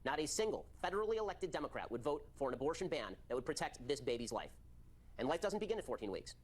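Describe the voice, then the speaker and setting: A man's voice: a little high, big pitch swings, quick pace. A man in a played video clip, making an argumentative point as a commentator.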